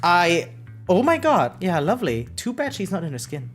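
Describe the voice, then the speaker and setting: A game streamer chatting casually with viewers, excitable and sweary, reacting aloud and reading bits of game text. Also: medium pitch, moderate pace, faint music behind.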